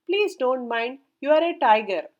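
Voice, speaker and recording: A woman's voice: high-pitched, lively intonation, moderate pace. A woman reading the lines of a poor, humble male Brahmin scholar, politely and hesitantly. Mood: wary. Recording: dull lo-fi recording.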